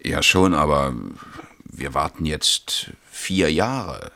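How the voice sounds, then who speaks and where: An actor playing an earnest, hesitant schoolteacher in a radio drama, apologetic yet doggedly insistent. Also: male voice, extremely low pitch, slow pace, pushed and bright, heavily acted. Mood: insistent, frustrated. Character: earnest, hesitant male schoolteacher pleading his case, doggedly polite. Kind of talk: 1970s German radio drama acting.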